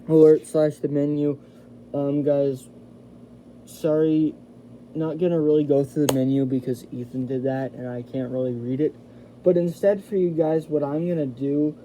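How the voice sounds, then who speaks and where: A man's voice: medium pitch, mellow, a little hiss or hum, poor audio. A man giving a casual, hands-on product review in an informal, chatty way, speaking directly to his viewers. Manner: informal, chatty, addresses viewers as "you guys". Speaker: video host reviewing a radio. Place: indoors in a house.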